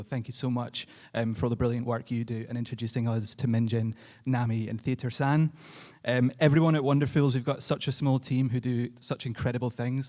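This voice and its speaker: Mid-pitched man giving thanks on stage. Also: dark tone. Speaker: theatre-maker accepting an award. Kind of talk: thank-you speech. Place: live on stage at an awards ceremony.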